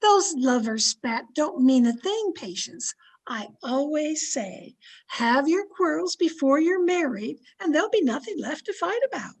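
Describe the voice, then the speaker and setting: A high-pitched, cheerful older aunt played by a woman in an old-time radio play. She chatters knowingly and insists gently, a born meddler in young people's romances. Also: big pitch swings, a little slow, full character voice. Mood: cheerful, scheming.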